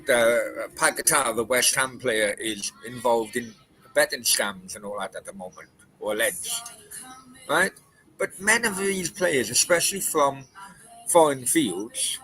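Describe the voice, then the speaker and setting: A man's voice: mid-range voice, bright, forceful tone, low-quality recording. A man in his forties hosting a late-night chat, ranting bluntly and with lots of swearing. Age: 40s. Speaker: podcast host.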